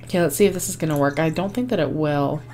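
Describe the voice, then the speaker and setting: A woman hosting a life-sim game video, her voice hoarse and fading, chatting casually with frequent ums and small laughs. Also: sits low, fast talker, a little mellow. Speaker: gaming channel host. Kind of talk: casual gameplay commentary.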